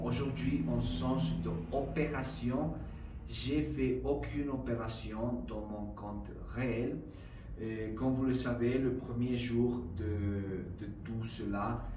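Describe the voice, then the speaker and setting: Slow-talking man with non-native French. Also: medium pitch, a little mellow, far from the mic, slight background noise. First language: not French. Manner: slow, halting, many fillers and "d'accord?" checks. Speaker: trading vlogger. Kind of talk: casual explanatory vlog. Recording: roomy, voice a bit off-mic, faint ambience.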